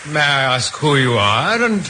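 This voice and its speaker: A man's voice: mid-range voice, big pitch swings, moderate pace, pushed and bright. A male actor playing a formal, guarded doctor in an old radio drama, speaking precisely. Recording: hissy old radio recording.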